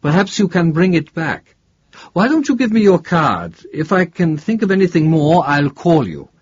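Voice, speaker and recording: A man voicing an older, guarded male chemistry professor in an audiobook, with a halting, deliberate, emphatic delivery. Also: fairly high pitch, sing-song, slightly rough, highs cut off, pitched above the performer's own voice, heavily acted. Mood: intrigued. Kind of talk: audiobook character dialogue.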